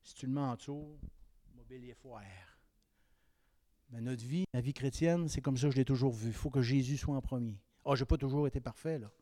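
A man's voice: medium pitch, brisk, no room sound. Emphatic Québécois preacher in his fifties. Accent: Québécois French. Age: 50s. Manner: emphatic, swinging between raised exhortation and quiet confiding. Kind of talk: sermon.

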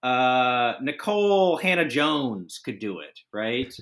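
A man's voice: mid-range voice, very animated, slow pace, a bit bright. A man hosting a casual podcast chat, rambling through his thoughts with many fillers and restarts. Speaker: podcast host.

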